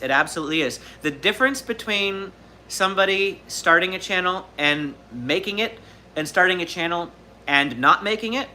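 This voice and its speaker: A man's voice: fairly high pitch, sharp tone, slight background noise. A man in his thirties hosting a live question-and-answer stream, talking casually and rambling with fillers and restarts. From Southern California. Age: 30s. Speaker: livestream host.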